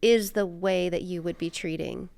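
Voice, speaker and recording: A woman's voice: lowish voice, fairly even intonation, normal speed, gravelly, darkish tone, clean tone, no room sound. A woman hosting a casual interview, thinking aloud in a reflective, conversational way. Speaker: podcast host.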